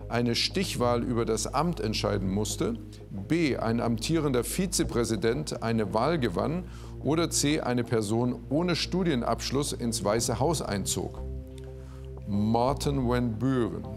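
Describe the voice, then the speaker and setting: A man's voice: mid-range voice, lively intonation, measured pace, gravelly. A man hosting a quiz show in a TV studio, presenting live to an audience and guests. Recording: studio with music and audience behind.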